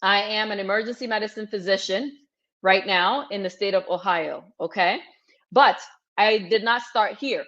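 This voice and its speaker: A woman from Cameroon, a physician in her thirties, hosting an energetic webinar and coaching her audience directly, often checking in with "okay?". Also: medium pitch, moderate pace. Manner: upbeat, direct, repeats cues like "okay?" to the audience. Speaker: physician hosting a webinar for international medical graduates. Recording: slightly dull lo-fi webinar audio.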